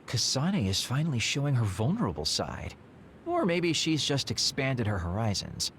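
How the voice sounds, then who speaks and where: A man's voice: medium pitch, wildly swooping pitch, moderate pace, a bit bright, a little hiss or hum. A man playing a flippant, self-assured soldier in an anime-style video game, teasing and easygoing. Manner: breezy, teasing.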